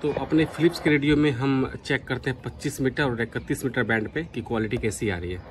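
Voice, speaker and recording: Man, casual hands-on demo commentary, mid-pitched voice, faint noisy background. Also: quick pace. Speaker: vlogger demonstrating radios.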